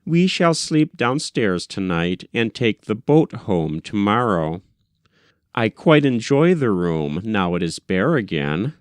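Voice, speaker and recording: A man reading a first-person short story as scripted literary narration, with measured, deliberate delivery. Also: fairly low pitch, very animated, clear, resonant tone, dry recording. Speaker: audiobook reader.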